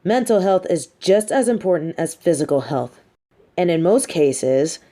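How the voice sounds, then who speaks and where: Lady doing a supervillain woman for audio roleplay, slow n mellow, condescending, coolly menacing, kinda sympathetic but manipulative. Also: low voice. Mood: grave, sympathetic. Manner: slow, deliberate, condescending, theatrical. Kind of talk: scripted audio roleplay addressed to the listener.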